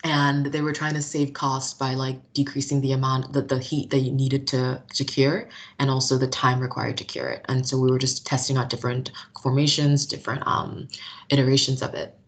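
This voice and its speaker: A woman's voice: a bass voice, level tone, normal speed. A young woman who grew up between Nigeria and the US, with an American accent, chatting as an interview guest who laughs often and fills with "um" and "like". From Nigeria, raised in South Florida. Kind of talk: casual interview conversation.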